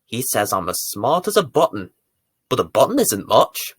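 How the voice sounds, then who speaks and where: A man reading a bedtime story to young children as its presenter, with deliberate, theatrical emphasis. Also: medium pitch, sing-song, slightly rough, no echo, clean studio sound. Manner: deliberate, theatrical emphasis with dramatic swells and hushes.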